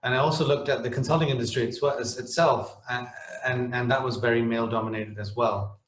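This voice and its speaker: A man's voice: mid-range voice, fairly even intonation, fairly quick, echoey room. A middle-aged man from the Netherlands speaking on a webinar panel, hesitant, with many restarts and fillers.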